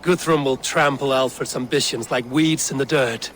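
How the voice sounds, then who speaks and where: An actor playing a stern male Viking raid leader in a video game cutscene, speaking in a grave, measured, commanding way. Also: mid-range voice, a bit bright, slight background noise, close to an everyday voice. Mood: resolute.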